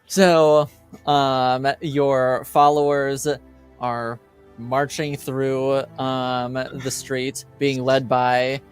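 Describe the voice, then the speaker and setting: A man running a tabletop roleplaying game as game master, narrating in a halting way as he pieces scenes together. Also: medium pitch, flat delivery, clean tone, faint music behind.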